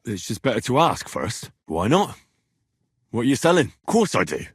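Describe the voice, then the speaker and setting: A British actor playing an earnest, curious young blacksmith's son in a medieval video game, speaking in a plain, inquisitive and informal way. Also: male voice, mid-range voice, very animated, normal speed, breathy edge, dry recording, studio quality. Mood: wary, questioning. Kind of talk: game dialogue lines.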